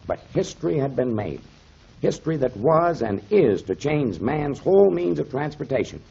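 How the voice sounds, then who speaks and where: A man's voice: mid-range voice, very animated, normal speed, creaky, dark tone, slightly rough, full character voice. An actor playing a concerned, hearty man in a 1930s radio serial, speaking in an emphatic, dramatic way. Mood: awed, emphatic. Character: concerned, hearty man, protective dog owner. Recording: dull, muffled 1930s radio recording.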